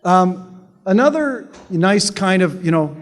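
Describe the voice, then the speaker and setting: Male speaker from Canada, sarcastic stump-speech delivery, voice on the higher side, reverberant hall. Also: animated, slow pace. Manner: sarcastic, conversational, repeats words when restarting. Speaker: public speaker. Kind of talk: political speech to an audience.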